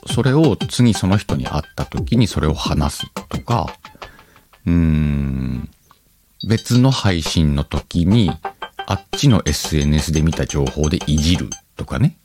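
A man's voice: a bass voice, animated, measured pace. A man hosting a podcast, chatting casually alone in an informal, playful way as he thinks aloud. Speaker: podcast host. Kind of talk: casual solo chat. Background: music.

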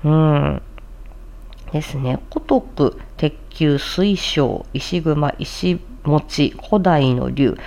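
A woman's voice: sits low, very slow, creaky, a little mellow. A woman who hosts a tongue-twister challenge like a voice actor, moving between carefully enunciated theatrical recitation and polite, chatty commentary. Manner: polite, chatty asides to viewers.

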